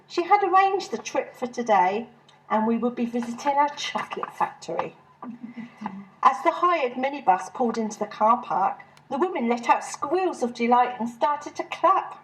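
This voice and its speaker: Higher-voiced woman reading a humorous story. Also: lively intonation, normal speed, low-quality recording. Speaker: author reading own short story. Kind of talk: humorous scripted first-person story narration.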